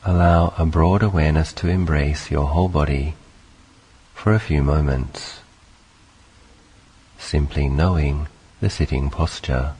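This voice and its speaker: A man leading a guided meditation, speaking calmly in short, unhurried phrases with long pauses between them. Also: extremely low pitch, breathy edge. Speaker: meditation teacher.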